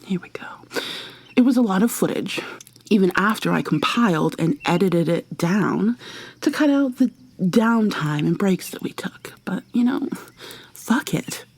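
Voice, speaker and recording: A woman acting an obsessive, controlling yandere lover in an ASMR roleplay, with a hushed, breathy, condescending drawl. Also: medium pitch, expressive, a little slow. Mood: smug, controlling.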